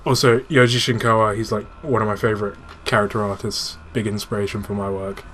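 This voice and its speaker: A man's voice: on the low side, flat delivery, fast, a bit bright, slight background noise. A man giving casual, informal gaming commentary as a let's play host, swearing freely and explaining technical details.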